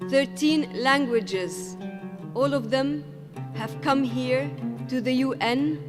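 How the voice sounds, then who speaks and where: A woman narrating a documentary in a measured, deliberate way. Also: sits high, long reverb tail, over music.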